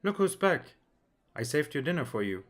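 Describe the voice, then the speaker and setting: A man's voice: a little high, big pitch swings, normal speed. A man playing a casual, mild middle-aged father in an audiobook, speaking in an understated way. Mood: casual, mildly amazed. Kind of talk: audiobook character acting.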